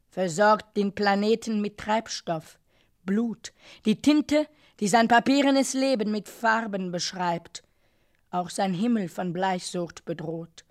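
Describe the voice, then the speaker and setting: A woman performing a solemn narrator in a radio drama, speaking in a deliberate, measured way with weighted pauses. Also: a little high. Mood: solemn, probing. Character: solemn female narrator pondering a violent, dreamlike image. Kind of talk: slow literary monologue in a radio drama.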